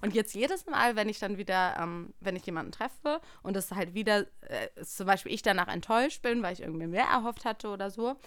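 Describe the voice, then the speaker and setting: A young woman co-hosting a podcast in a casual, personal chat. Her voice sits at a medium pitch, and she tells stories in an animated way. The sound is dry, with very little room in it. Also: fairly quick, hardly any pauses, smooth, dry recording. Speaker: podcast co-host.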